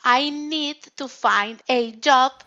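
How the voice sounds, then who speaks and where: Woman in her fifties, bright voice. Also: high-pitched, highs cut off, faint music behind. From Argentina. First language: Spanish. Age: 50s. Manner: slow, deliberate simple learner English. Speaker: adult student.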